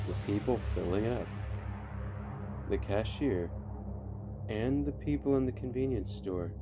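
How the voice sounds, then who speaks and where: Slow, low-voiced man narrating creepy stories. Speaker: podcast host reading stories. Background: faint music.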